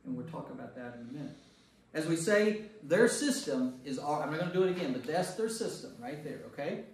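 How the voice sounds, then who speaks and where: A man's voice: on the high side, expressive, brisk. A man leading a church Bible study as priest, teaching informally and checking in with rhetorical questions. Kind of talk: informal teaching to a discussion group. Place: reverberant room at a church group meeting. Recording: very echoey room, distant mic, faint noise.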